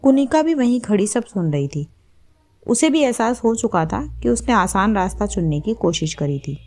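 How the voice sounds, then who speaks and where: A woman's voice: medium pitch, big pitch swings, normal speed, a little mellow. A woman storyteller reading a scripted story as its narrator.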